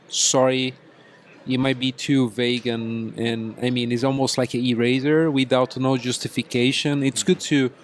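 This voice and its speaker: A man of average pitch speaks as an in a casual group chat. He is hesitant and searches for words as a podcast panelist.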